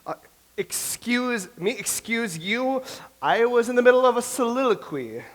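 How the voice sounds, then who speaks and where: An actor playing a nervous, self-doubting Elizabethan playwright who stammers and speaks theatrically in a staged reading. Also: male voice, very high-pitched, expressive, a little slow. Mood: indignant. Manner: theatrical, fretful, stammering soliloquy. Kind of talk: staged reading of a comedy play.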